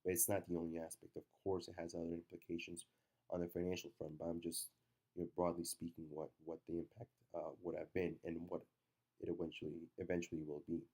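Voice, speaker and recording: A man with a stuffy cold giving halting, unscripted market commentary with many fillers. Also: low voice, completely flat delivery, mellow, poor audio.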